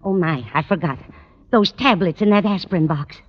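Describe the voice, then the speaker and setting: A woman's voice: on the low side, normal speed, close to an everyday voice. An actress playing a kindly, fussy, scatterbrained older landlady in a vintage radio comedy, rambling in a motherly way. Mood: flustered. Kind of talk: 1940s-50s radio comedy acting. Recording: muffled, noisy old-time radio recording.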